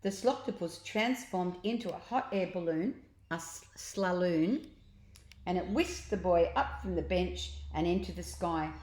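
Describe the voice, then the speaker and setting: An older woman narrates a children's storybook for grandchildren. Her voice sits a little low, and she leans on words with drawn-out, expressive emphasis. The recording is noticeably roomy. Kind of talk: storybook narration read aloud.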